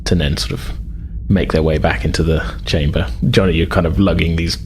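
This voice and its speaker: A man narrating a tabletop game as game master, improvising in a casual, halting way. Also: deep voice, fairly even intonation, a little hiss or hum.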